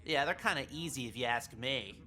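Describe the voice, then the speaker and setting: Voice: medium pitch, animated, brisk, bright, forceful tone. An actor playing an awkward, overeager nerdy teenage boy in a visual novel game, chummy, boastful and defensive. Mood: smug, defensive.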